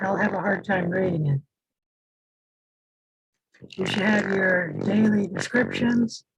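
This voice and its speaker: Hoarse male lab instructor, slow halting delivery, gravelly, lively pitch, noisy distorted video-call mic. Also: muffled recording. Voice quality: distorted mic that sounds like Darth Vader. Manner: slow, halting, many uh fillers. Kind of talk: online class lecture. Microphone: faulty computer mic on a video call.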